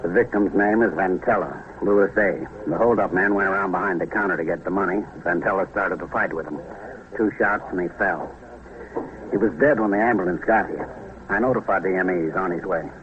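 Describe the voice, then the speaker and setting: Deep-voiced terse police sergeant male actor. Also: brisk, close to an everyday voice. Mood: businesslike, terse. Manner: clipped, matter-of-fact. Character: terse, matter-of-fact police sergeant reporting. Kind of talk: old radio drama acting. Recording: dull, faintly noisy old radio recording.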